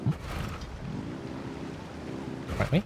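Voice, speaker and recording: A man's voice: racing, darkish tone, a little hiss or hum. A man streaming a video game, chatting casually and thinking aloud, with game music in the background. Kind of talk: casual let's play commentary.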